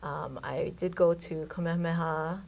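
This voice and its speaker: A woman from Hawaii, a care home chief, giving measured interview answers about its history with frequent fillers. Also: low voice, fairly even intonation, creaky, darkish tone. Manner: measured, explanatory, many um fillers. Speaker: guest, care home CEO.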